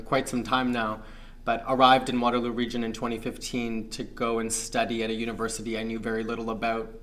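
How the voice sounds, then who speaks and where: Young male guest from Pakistan, measured reflective delivery, mid pitch, light background hum. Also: fairly bright tone. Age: young adult. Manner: thoughtful, measured, many fillers. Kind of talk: reflective interview talk.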